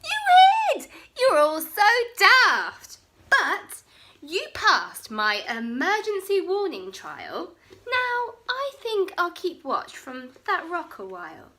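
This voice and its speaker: Storybook figure: woman playing a cheeky little boy dinosaur, very high, loud and shrill, swooping taunts. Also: huge pitch swings, slow, full character voice. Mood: mocking. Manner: shrill, shouting, laughing taunts. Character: boastful young male dinosaur prankster. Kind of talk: storybook reading.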